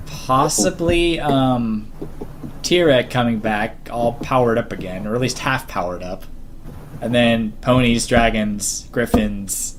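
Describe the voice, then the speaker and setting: Halting man chatting over background noise. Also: mid-range voice. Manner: halting, repeats phrases, swears casually. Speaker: podcast panelist. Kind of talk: casual fan group discussion.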